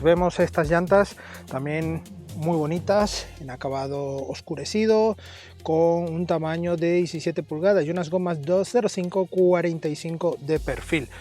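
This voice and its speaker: A Venezuelan man talks through a car review in a relaxed, chatty way, walking the listener through each detail. His voice sits a little high for a man. Soft music plays faintly underneath. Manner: chatty, explaining details with tag questions. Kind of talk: casual car review presenting.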